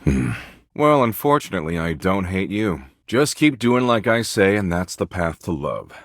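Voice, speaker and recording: A snarky, nihilistic slacker from a dating game. His deep voice swings widely between flat indifference and mocking emphasis, all in flippant slang. It is recorded close and dry. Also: moderate pace, dry recording. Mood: snarky, deadpan. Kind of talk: video game character dialogue.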